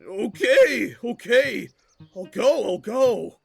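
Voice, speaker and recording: A man playing a nosy, dim, whiny villager in a fantasy audio drama, hesitant and wheedling, with a creaky voice. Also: extremely high pitch, animated, fairly bright tone, soft background music, exaggerated voice. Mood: flustered, backing off. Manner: hesitant, drawn-out, wheedling and defensive.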